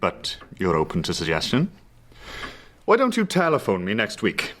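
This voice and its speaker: Doctor hypnotist, very low voice, varied intonation, authoritative, composed, radio drama. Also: male voice, wildly swooping pitch, normal speed, a bit bright, a little hiss or hum. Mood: composed, clinical. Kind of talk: period radio drama acting.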